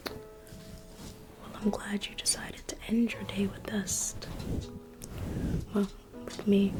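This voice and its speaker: Slow whispering woman, ASMR guide. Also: mid-range voice, flat delivery, music in the background. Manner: soft whispering, intimate and reassuring. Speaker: ASMR roleplay museum tour guide. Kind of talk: ASMR roleplay.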